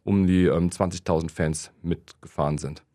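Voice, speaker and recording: A man's voice: low-pitched, steady tone, normal speed, warm tone. A man in his thirties from Krefeld, talking casually and plainly as co-host, with hesitant fillers. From Krefeld, Germany. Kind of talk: casual chat, question round.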